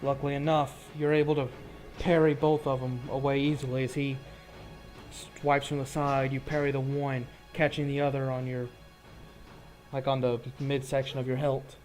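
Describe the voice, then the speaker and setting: A man's voice: medium pitch, flat delivery, moderate pace, a little mellow, faint music behind. A man narrating a tabletop roleplaying game as game master, telling the action in a casual, descriptive way.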